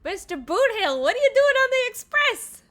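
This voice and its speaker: Girl streaming a game, high voice swinging all over, gushing and playful reactions. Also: sing-song, moderate pace, a bit bright. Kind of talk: excited live playthrough reactions.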